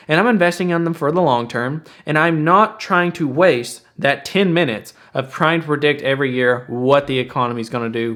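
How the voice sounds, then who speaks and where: A man's voice: a little high, a bit bright. Young man explaining casually to viewers. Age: 20s. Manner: plain-spoken, casual, emphatic repetition. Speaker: finance video host. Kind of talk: explanatory investing commentary to viewers.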